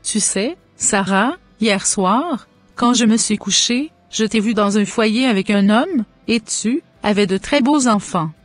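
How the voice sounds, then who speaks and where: A woman's voice: medium pitch, measured pace, no room sound, faint music behind, lightly acted. Woman voicing a pious cartoon mother, middle-aged, solemn blessings, dry close sound. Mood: gentle. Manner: solemn, blessing-heavy, churchy phrasing.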